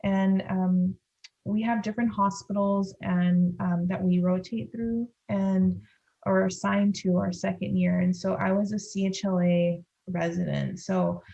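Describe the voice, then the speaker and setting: A woman's voice: mid-range voice, little pitch movement, a little slow, gravelly, mellow. A woman from Los Angeles with a Californian accent, answering as a podcast guest in casual conversation, on slightly dull lo-fi audio. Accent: Californian American. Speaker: podcast guest, pediatric dentist.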